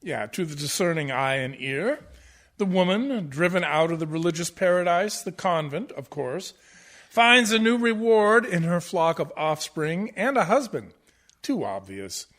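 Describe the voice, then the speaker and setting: An actor playing a pompous, condescending theatre professor who lectures pedantically in a comic radio play. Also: male voice, high voice, very animated, a little slow, a bit bright, full character voice. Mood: smug, lecturing. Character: pompous, condescending male musical-theatre professor, absurdly over-analytical. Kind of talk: comic radio play acting as interview guest.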